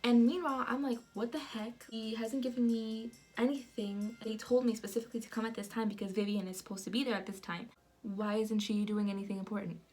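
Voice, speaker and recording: A young woman from the United States, telling a personal story in an animated vlog style with lots of fillers. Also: a little high, fast, poor audio. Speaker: vlogger.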